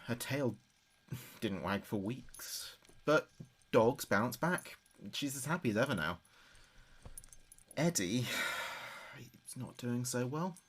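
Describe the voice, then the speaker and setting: A bereaved man recording a spoken diary for an audio drama. He has a mid-pitched, everyday male voice. His delivery is conversational and confiding, with small hesitations, as if speaking privately to a lost parent. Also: animated, slow, slightly rough. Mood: fond. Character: grieving adult son recording messages for dead father.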